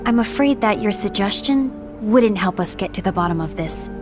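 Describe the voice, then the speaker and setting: A woman's voice: mid-range voice, expressive, normal speed, lightly acted. An actress playing a poised, diplomatic female warrior leader who speaks formally. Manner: formal, composed. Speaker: voice actor in a game cutscene. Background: game music.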